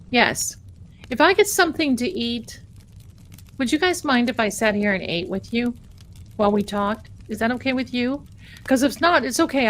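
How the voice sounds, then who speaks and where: A woman's voice: a little high, a bit bright, slight background noise. Chatty woman live-streaming. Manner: chatty and rambling, calls viewers "my darlings". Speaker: tarot reader hosting a live stream. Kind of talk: casual live-stream chat.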